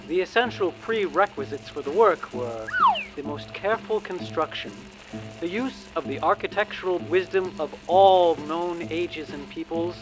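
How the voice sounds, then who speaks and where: Higher-voiced man reading formal prose over music. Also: poor audio. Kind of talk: literary prose recitation.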